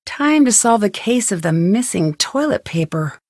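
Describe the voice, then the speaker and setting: A young woman detective in an adventure game, quick-witted and determined. She talks to herself inquisitively as she puzzles things out, in a medium-pitched voice. The sound is dry, with no room to it. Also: big pitch swings, darkish tone, dry recording. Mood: musing, focused. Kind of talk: scripted video game voice lines, thinking aloud.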